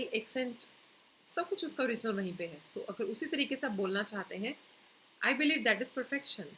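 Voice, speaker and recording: A woman's voice: fairly high pitch, bright, forceful tone, slight background noise, poor audio. A woman with an Indian accent teaching English phrases in a Hindi-English mix, explaining step by step and repeating each phrase for viewers to say along. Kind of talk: language lesson mixing Hindi and English.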